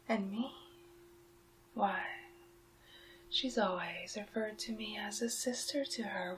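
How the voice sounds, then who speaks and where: A woman playing a sly, possessive medieval witch in a hushed, drawn-out, teasing ASMR roleplay. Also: mid-range voice, a little hiss or hum. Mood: gloating. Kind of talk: ASMR roleplay, soft speaking and whispering.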